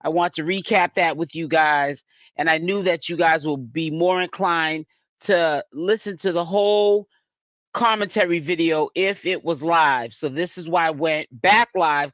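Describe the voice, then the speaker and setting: High-voiced chatty man from Boston. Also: fairly bright tone, no echo, muffled recording. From Boston, Massachusetts. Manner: chatty, talks straight to viewers in chat. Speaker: YouTube commentary host. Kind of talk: casual live-stream commentary.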